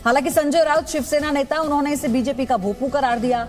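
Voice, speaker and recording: A woman's voice: high voice, fast talker, faint music behind. A woman presenting broadcast news as an anchor.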